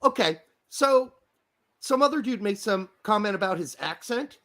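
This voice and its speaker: A man's voice: sits high, lively intonation, moderate pace. A man in his fifties with an American accent, raised in Germany, co-hosting a casual chat and ranting with heavy profanity. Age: 50s. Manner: profane ranting, loud outbursts. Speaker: podcast co-host.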